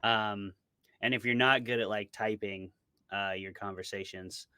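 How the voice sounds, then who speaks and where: A man's voice: lowish voice, steady tone, measured pace, pushed and bright, clear, resonant tone, dry recording, clean studio sound. A man being interviewed as a podcast co-host, explaining things in a casual, informal way.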